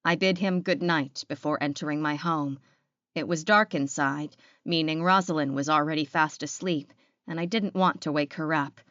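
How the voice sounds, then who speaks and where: Low-pitched woman narrating dramatic fiction. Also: steady tone, moderate pace, a bit bright, no echo, muffled recording. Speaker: audiobook narrator.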